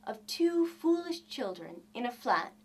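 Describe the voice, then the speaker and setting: A woman reading a classic short story aloud with theatrical, deliberate emphasis. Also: a little high, very animated, darkish tone. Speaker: reader of literature. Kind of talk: expressive audiobook narration of a classic short story.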